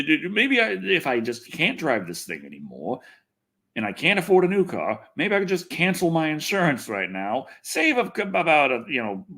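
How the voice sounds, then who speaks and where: Male livestreamer, lively swinging pitch, casual rambling delivery, wry and exasperated with salty language. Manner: wry, exasperated, sweary griping. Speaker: livestream host. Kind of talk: casual rambling livestream chat, reading comments.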